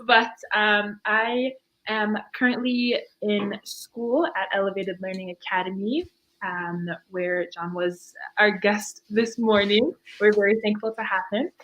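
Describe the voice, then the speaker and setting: Young woman from Fiji, podcast guest, lively storyteller with lots of laughs. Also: mid-range voice, measured pace, dull audio. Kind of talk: casual interview chat.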